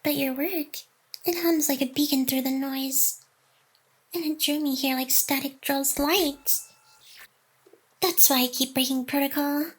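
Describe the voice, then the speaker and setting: A woman's voice: sits high, steady tone, unhurried, long pauses, poor audio. A woman playing a playful AI hacker girl in an intimate ASMR roleplay, teasing and mock-aloof while secretly smitten and protective of the listener. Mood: earnest, protective. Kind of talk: ASMR roleplay, intimate one-sided scripted drama.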